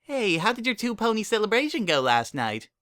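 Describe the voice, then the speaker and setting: A man voicing a hyper, zealous female party pony in an excitable, gushing story reading. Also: sits high, a little fast, voice pushed up. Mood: excited, gushing. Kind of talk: dramatic reading of fan fiction.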